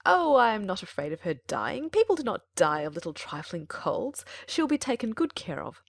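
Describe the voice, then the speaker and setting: A woman voicing a fussy, excitable, scheming middle-aged mother who exclaims and dismisses in a dramatized audiobook. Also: medium pitch, normal speed, gravelly, no echo, studio quality. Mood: smug.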